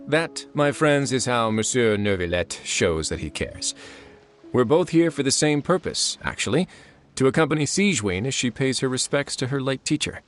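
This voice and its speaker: An actor voicing an easygoing prison warden with a wry, joking manner. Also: male voice, mid-range voice, expressive, moderate pace, natural voice. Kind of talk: video game dialogue. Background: soft music.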